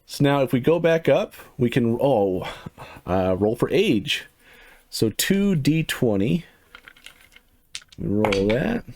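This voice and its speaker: Casting: middle-aged man, mid-pitched, casual and rambling, talks his way through a game review. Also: sing-song, takes it slowly, long pauses, a little mellow. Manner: rambling, lots of fillers, laughs to himself. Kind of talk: casual first-impressions walkthrough.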